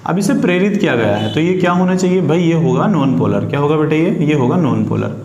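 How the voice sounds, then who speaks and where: A man's voice: fairly high pitch, expressive, fairly quick, a little mellow. A man teaching chemistry informally, repeating key phrases, asking and answering his own questions and mixing in English technical terms. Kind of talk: informal classroom-style lesson for students. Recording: roomy echo with faint background noise.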